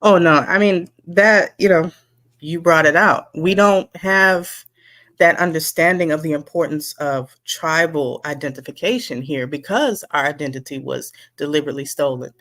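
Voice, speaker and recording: This is a woman with a somewhat low voice, speaking as a guest. She talks in an impassioned way and slips into mocking the voices of others. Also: moderate pace, a bit bright. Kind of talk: passionate talk.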